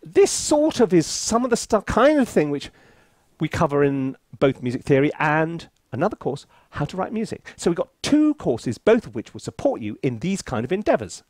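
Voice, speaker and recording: A man's voice: a little high, wildly swooping pitch, moderate pace, a little mellow, no echo. A man giving a casual tutorial commentary as a composer at work, thinking aloud in a playful, self-deprecating way. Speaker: composer reviewing a sample library.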